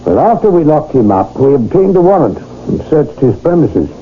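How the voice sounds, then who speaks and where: A man's voice: fairly high pitch, sing-song, a little hiss or hum. An actor playing a stern, middle-aged lawman in a 1970s radio drama, formal and official in manner. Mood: brisk, official. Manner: formal, measured, dry officialese. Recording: tinny old radio broadcast with music.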